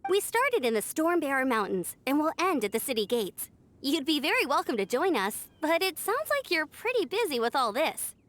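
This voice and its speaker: A woman's voice: high voice, very animated, moderate pace, a bit bright. A woman voicing a friendly, eager young female knight in a video game, with a breezy, enthusiastic manner. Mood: cheerful.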